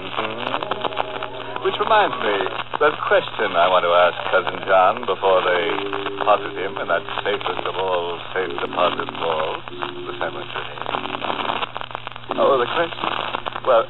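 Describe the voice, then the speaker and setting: A man's voice: low voice, lively intonation, measured pace. A wry radio mystery host with a ghoulish, playful sense of humor. His voice moves up and down freely on a thin, very crackly vintage recording. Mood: darkly playful. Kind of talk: old-time radio horror host narration. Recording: crackly, narrow-band old radio recording.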